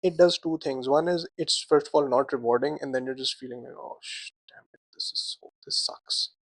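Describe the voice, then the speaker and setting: A young man co-hosting a casual podcast chat, animated, laughing often and filling his talk with "like" and "right?". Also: medium pitch, brisk, no room sound. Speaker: podcast co-host.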